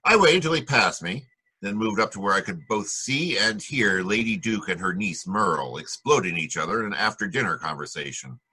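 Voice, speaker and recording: An actor playing a wry, cynical private detective in 1940s radio noir style, with a husky voice and a sardonic manner. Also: male voice, fairly low pitch, lively intonation, brisk, sharp tone, full character voice, poor audio. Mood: wry narration. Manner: sardonic, wisecracking. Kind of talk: 1940s radio noir acting, narration and dialogue.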